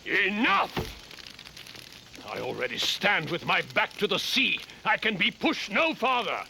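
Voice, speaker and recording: A man voicing a proud, embattled king and father in an animated adventure, speaking in grave, formal oratory. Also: high-pitched, a little slow, vocal fry, slight background noise, heavily acted. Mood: defiant. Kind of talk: animated adventure series acting.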